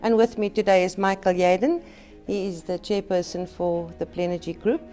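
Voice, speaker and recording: A woman in an HR role conducts a corporate interview. Her voice is mellow and mid-pitched, and her delivery is composed and scripted. Faint music plays softly behind her. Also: steady tone, normal speed. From South Africa. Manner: composed, reads prepared questions. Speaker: HR business partner, interviewer.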